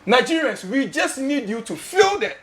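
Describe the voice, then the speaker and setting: A Ghanaian man with a high, lively voice hosts a studio talk show. He argues passionately in Ghanaian-accented English, hammering home his questions, and slips easily into Twi. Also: moderate pace, a loud crowd. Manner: passionate, emphatic, repeats questions, mixes in Twi. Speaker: host.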